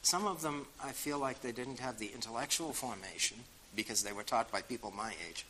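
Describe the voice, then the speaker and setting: Bright-voiced older man with a Boston accent. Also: mid-range voice, fairly quick, a little hiss or hum, low-quality recording. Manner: frank, wry, many fillers. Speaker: guest speaker answering audience questions. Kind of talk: casual spoken reply.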